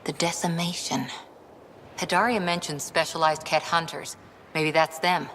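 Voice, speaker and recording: A woman's voice: sits low, steady tone, normal speed, big-room sound, background noise, lightly acted. A woman playing a tough, earnest young military lieutenant in video game cutscene dialogue. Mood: resolute.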